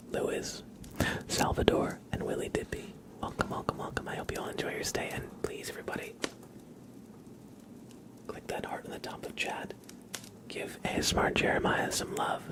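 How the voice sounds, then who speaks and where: A man hosting an ASMR livestream, speaking gently and unhurriedly in a breathy whisper with some gravel in it. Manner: soft-spoken, gentle, unhurried. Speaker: ASMR live streamer and host.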